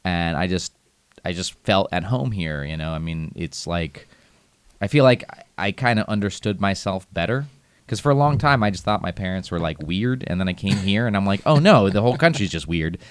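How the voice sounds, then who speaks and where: A man, a guest chatting casually, relaxed and rambling, laughing often. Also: sits low, fairly quick, smooth, no room sound. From United States. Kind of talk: casual chat.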